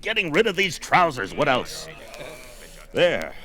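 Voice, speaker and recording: An actor playing a proud, hot-tempered barbarian warrior in a blustering, declamatory 1970s audio drama style. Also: male voice, a little high, huge pitch swings, measured pace, sharp tone, full character voice. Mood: indignant.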